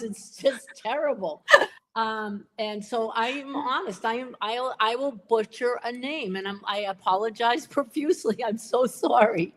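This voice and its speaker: A woman's voice: fairly high pitch, fairly bright tone. A woman co-hosting a casual livestream chat over a video call, talkative and self-deprecating, often laughing. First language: English. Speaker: co-host of a pen livestream.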